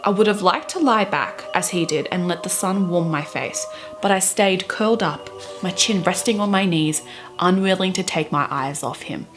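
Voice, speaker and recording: Low-pitched young woman with a theatrical delivery. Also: normal speed, over music. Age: young adult. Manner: theatrical, expressive, exclamatory. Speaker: book vlogger. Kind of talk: dramatic reading and chatty commentary to camera. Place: small bedroom, indoors.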